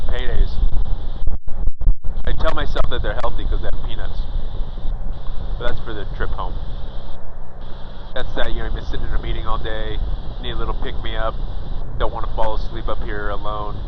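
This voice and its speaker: Man pilot vlogging from the cockpit, low voice, muffled headset audio over loud engine drone. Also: normal speed, pauses often, noisy background. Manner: relaxed, rambling, chatty. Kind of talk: casual cockpit vlog commentary. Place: small plane cockpit in flight.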